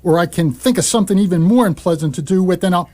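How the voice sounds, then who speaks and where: A man's voice: high-pitched, fast, a little mellow, natural voice. A man playing a flustered, indignant ordinary fellow who splutters and exclaims in a radio comedy. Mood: furious ranting. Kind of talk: radio comedy drama acting.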